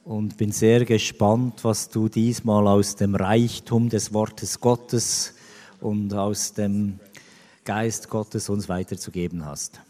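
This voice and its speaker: A man's voice: fairly low pitch, level tone, takes it slowly, warm tone. A man hosting a church conference, giving an earnest welcome to a guest speaker. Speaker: conference host. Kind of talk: welcoming speech. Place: church hall. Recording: reverberant hall.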